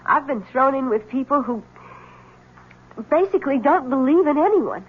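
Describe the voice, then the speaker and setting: A woman's voice: high-pitched, lively intonation, moderate pace. An actress playing an earnest, emotional, insistent wife devoted to her husband, in a 1970s radio drama. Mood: indignant, earnest. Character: devoted, distraught but insistent wife of missing man. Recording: dull lo-fi old radio recording.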